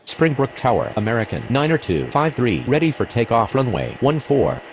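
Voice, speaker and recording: A man's voice: mid-range voice, big pitch swings, normal speed, soft background music. A man delivering formulaic pilot and controller radio calls in a simulation game. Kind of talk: scripted aviation radio phraseology in a simulation game. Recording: clear engine noise behind.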